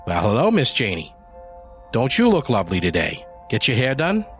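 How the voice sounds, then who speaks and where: A man's voice: medium pitch, huge pitch swings, normal speed, faint music behind. A man voicing a chatty friendly shopkeeper. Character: friendly small-town male shopkeeper.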